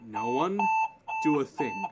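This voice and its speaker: A man's voice: mid-range voice, sing-song, slow, very noisy. A man playing a tense, bossy airport security guard in an audio play, curt and commanding, with some swearing. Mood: firm, alarmed.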